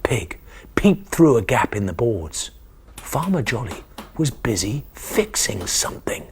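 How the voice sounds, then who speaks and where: A man in his forties reading a children's bedtime story expressively, with dramatic, hushed emphasis. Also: mid-range voice, sing-song, unhurried, slight background noise. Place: small TV studio kitchen set, indoors. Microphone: lapel mic.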